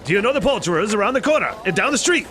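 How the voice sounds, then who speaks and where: A man's voice: high-pitched, sing-song, very fast, bright, forceful tone, a little hiss or hum, exaggerated voice. A man playing an elderly reformed miser in an audio drama, theatrical, exclamatory and giddy with joy. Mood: excited, delighted. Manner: theatrical, exclamatory, bursting into laughter.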